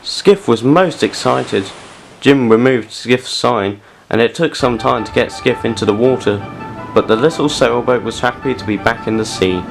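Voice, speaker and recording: A man's voice: medium pitch, normal speed, creaky, music in the background, low-quality recording. A man delivering scripted storybook narration as the narrator of an audio drama.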